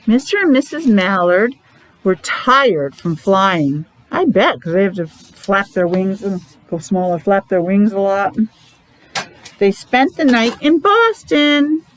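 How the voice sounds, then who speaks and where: A woman's voice: medium pitch, expressive, slow, low-quality recording. A woman teacher reading a picture book aloud to young children, explaining it simply in short, repetitive asides. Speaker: preschool or special-needs teacher.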